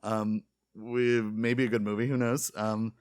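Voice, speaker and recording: A man's voice: lowish voice, level tone, unhurried, darkish tone, no echo, clean studio sound. A man chatting casually as a podcast co-host, informal and joking in a self-deprecating way. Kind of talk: casual panel chat about games and films.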